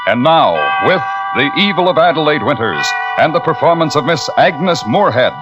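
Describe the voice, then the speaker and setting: A man's voice: a little high, big pitch swings, moderate pace, muffled recording. A man giving a formal, declaiming introduction as a 1940s radio show announcer. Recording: old radio broadcast with loud music.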